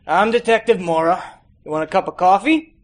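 A man's voice: high voice, a little fast, voice pushed up, lightly acted. A man reading a story as a terse, world-weary male detective questioning a suspect. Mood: probing. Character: world-weary male police interrogator, bored, probing.

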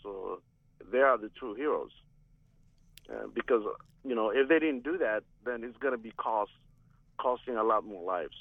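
A man from Somalia calling in to a radio talk show, speaking haltingly with many restarts over a phone line. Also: on the low side, vocal fry, no room sound. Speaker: caller.

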